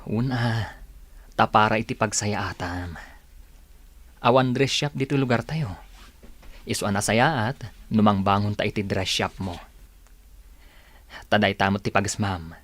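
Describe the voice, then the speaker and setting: A man with an Ilocano accent playing a soft, earnest and persuasive young suitor in a radio drama. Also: on the low side, unhurried, long pauses. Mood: earnest, helpful.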